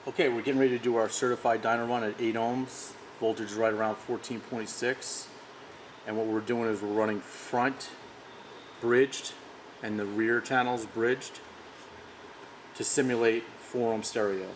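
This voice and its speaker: A man's voice: medium pitch, steady tone, normal speed. Man demonstrating gear, noisy recording. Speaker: product demonstrator for an audio brand. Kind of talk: informal technical demonstration.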